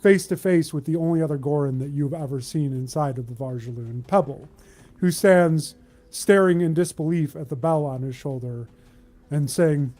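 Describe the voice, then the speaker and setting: A man's voice: a little high, moderate pace, warm tone. A man hosting and narrating a live tabletop game as game master in a casual way. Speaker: game master and stream host.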